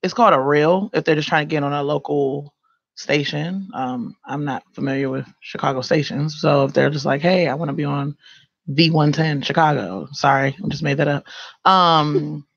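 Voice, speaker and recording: A young woman with a mellow voice and a gravelly, fry-laden edge gives casual advice as a studio guest. She talks loosely, circling with fillers and restarts. The recording is dry and close on a desk mic, a little dull and lo-fi. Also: deep voice, fairly even intonation, moderate pace, no room sound. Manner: loose, rambling delivery with many fillers. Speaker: guest, radio and podcast producer. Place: small radio studio. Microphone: desk microphone.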